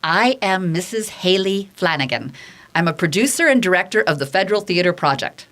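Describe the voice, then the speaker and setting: Earnest, animated 1930s woman theater director testifying as acted by a woman. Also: on the low side, fairly quick. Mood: composed. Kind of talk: staged radio theater reading.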